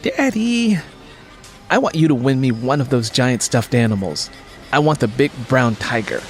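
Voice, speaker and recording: A man's voice: mid-range voice, lively intonation, moderate pace, soft background music, natural voice. A man voicing an eager, affectionate young daughter in an audiobook story. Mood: eager, coaxing.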